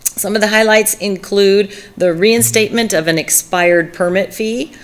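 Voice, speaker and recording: Woman with an allergy-hoarse voice. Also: mid-range voice, normal speed. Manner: frequent throat clearing and filler words. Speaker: municipal services director. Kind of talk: presenting a staff report at a council meeting.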